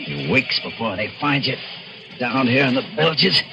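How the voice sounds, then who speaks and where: A man's voice: mid-range voice, big pitch swings, unhurried, vocal fry. An actor playing a guilty, desperate seaman in an old-time radio thriller, hesitant, agitated and intense. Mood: cold menace. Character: guilty, desperate seaman turned killer and diver. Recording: muffled old radio recording with music.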